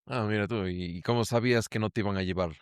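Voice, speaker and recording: A male podcast host with a somewhat low voice, asking curious, lively questions and reacting with little exclamations. The recording is close and dry, with no room echo. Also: fast talker, clean tone. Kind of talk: podcast interview hosting. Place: lives in Ecuador.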